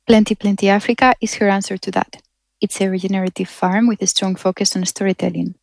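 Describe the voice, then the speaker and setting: A woman reading scripted documentary narration in a measured, even delivery. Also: medium pitch, gravelly, no echo.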